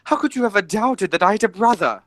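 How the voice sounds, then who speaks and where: Woman playing an earnest young gentleman, close dry sound. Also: medium pitch, expressive, fast talker, no room sound, close to an everyday voice. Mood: elated. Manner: formal, theatrical. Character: earnest, excitable young gentleman seeking his origins. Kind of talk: amateur radio play of period comedy.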